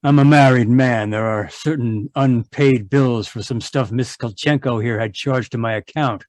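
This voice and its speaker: A wary philanderer played by a male actor in a noir audio drama. His medium-pitched voice is measured and hesitant, recorded close and dry. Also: a little mellow, no echo, lightly acted. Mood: guarded, sheepish. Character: guarded married man caught keeping a mistress.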